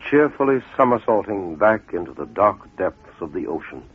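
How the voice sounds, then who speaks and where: Husky low-voiced male storyteller, thin radio sound. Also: very animated, no room sound, phone-quality sound. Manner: measured, deliberate phrasing with dramatic pauses. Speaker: storyteller, narrator. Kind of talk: scripted dramatic storytelling, fable narration.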